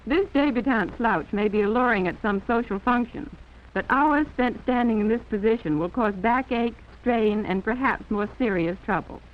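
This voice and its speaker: A thin, band-limited 1940s film soundtrack carries a fairly high-pitched American woman's voice. She narrates a training film from a script, formal and instructive throughout. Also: moderate pace, highs cut off, slight background noise.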